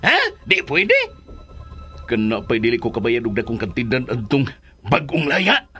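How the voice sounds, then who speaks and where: A Filipino actor playing a bossy, hot-tempered schemer in a radio drama, with a rough, bullying, theatrical delivery. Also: male voice, medium pitch, wildly swooping pitch, normal speed, bright, forceful tone, heavily acted. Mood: scheming. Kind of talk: Philippine radio drama acting.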